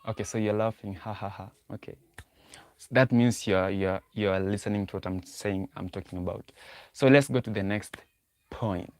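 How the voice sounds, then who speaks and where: Low-voiced man chatting on a livestream. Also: steady tone, moderate pace, dry recording. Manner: repetitive, thinking aloud, talks to chat. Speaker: livestream host. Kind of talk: casual livestream talk, reading chat.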